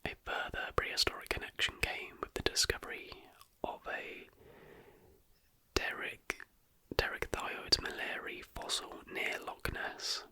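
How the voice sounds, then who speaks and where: A host whispering a scripted ASMR narration softly from start to finish. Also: a little slow. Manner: soft whispering throughout.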